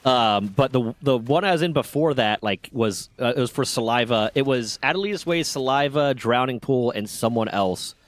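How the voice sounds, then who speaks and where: Brief: male streamer, 30s, animated pitch, rambling sweary storyteller. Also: normal speed, hardly any pauses, no room sound. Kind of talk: casual gaming chat.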